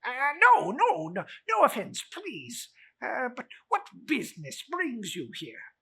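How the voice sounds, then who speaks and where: A man playing a crotchety, husky old miser. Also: very high-pitched, huge pitch swings, slow pace, pushed and bright, voice pushed up, heavily acted. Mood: flustered. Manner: stammering, exclamatory. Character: crotchety, frightened old miser, blustering then pleading.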